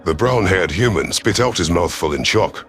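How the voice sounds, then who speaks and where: Male narrator, low-pitched, for dramatic first-person sci-fi storytelling over faint music. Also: lively intonation, moderate pace, fairly bright tone. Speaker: audiobook narrator.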